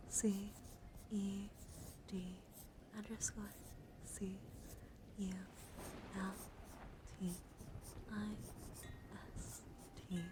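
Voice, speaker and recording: Woman ASMR streamer: gentle, hushed, largely whispered delivery, very unhurried, clearly noisy background. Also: medium pitch. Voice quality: soft, mostly whispered. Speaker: live streamer. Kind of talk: ASMR, chatting with live viewers. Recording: clearly audible background noise.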